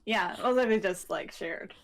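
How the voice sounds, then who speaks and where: A woman's voice: mid-range voice, a little slow, crowd noise. A woman co-hosting a podcast in a casual, conversational style. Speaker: podcast co-host. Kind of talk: casual chat.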